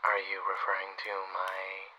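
A man's voice: low-pitched, monotone, a little slow, sharp tone, slight background noise, heavily acted, poor audio. A man playing a wry, wounded older brother and assassin in an audio roleplay, speaking hesitantly and conversationally. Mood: teasing, nostalgic. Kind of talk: audio roleplay drama acting.

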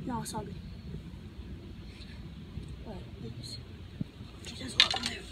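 Excitable teen boy with a high voice, out in the woods. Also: moderate pace. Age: teens. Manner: excitable, chatty, cursing under his breath. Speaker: vlogger. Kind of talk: casual outdoor vlog. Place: outdoors in leafy woods. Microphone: handheld phone camera. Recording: loud outdoor noise on phone.

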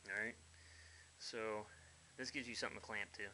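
A man's voice: lowish voice, fairly even intonation, moderate pace, bright, forceful tone, a little hiss or hum, low-quality recording. Blunt folksy man in his workshop. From United States. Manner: blunt, folksy, mildly profane. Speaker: hobbyist builder. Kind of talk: casual workshop walkthrough. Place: dim garage workshop.

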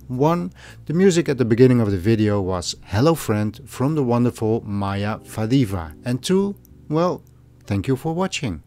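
A man's voice: lowish voice, a little mellow. Man narrating a channel voice-over. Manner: clear, orderly, addressing viewers directly. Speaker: channel host.

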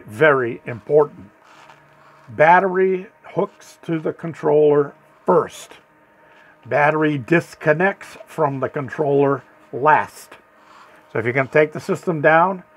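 A man on his homestead channel, showing step by step how he wires a solar setup, often stopping to ask "okay?" and repeating words. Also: fairly high pitch. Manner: folksy, repeats words, asks "okay?" after steps. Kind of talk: hands-on how-to demonstration. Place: small solar battery shed, indoors.

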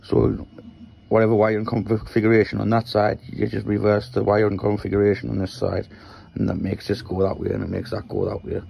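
Croaky Geordie man in a shed. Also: fairly low pitch, monotone, a little fast, warm tone, low-quality recording. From Newcastle, England. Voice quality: croaky, gravelly voice. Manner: rambling, explains while handling parts. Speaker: maker vlogger. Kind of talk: casual workshop walkthrough. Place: small wooden shed workshop, indoors. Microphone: handheld phone.

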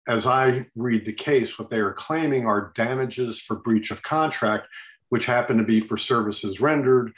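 Middle-aged male judge, measured and precise, roomy video call sound. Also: mid-range voice. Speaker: appellate judge. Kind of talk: questioning counsel in a remote oral argument. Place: indoor office, video call.

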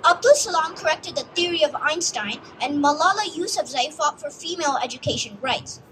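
High-voiced young speaker, earnest recital. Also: female voice, lively intonation, brisk, a bit bright, slight background noise, low-quality recording. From United States. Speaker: youth speaker. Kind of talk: prepared speech read aloud. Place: outdoor stage in a park.

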